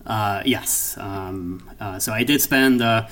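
Slow-talking Austrian-accented man. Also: lowish voice, fairly bright tone. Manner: hesitant, many fillers, light laughter. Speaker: guest, economist. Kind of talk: interview conversation.

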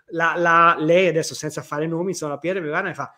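A man's voice: a little high, fast. A man chatting casually as a co-host, telling stories emphatically, repeating himself and swearing freely. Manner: animated storytelling, swearing, emphatic repetition. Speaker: co-host of a livestream talk show. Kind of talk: casual chat.

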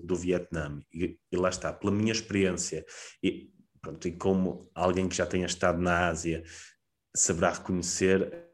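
A man with a European Portuguese accent, answering interview questions at length, pausing often with fillers and restarts. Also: low voice, darkish tone. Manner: hesitant, many fillers and restarts. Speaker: guest, economist and lecturer. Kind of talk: conversational interview.

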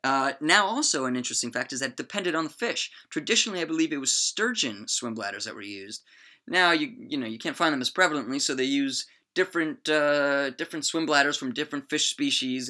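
A man's voice: medium pitch, fast, pushed and bright. A young man hosting a podcast, explaining facts in a chatty, joking way with lots of fillers and asides. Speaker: podcast host. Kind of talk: casual educational monologue.

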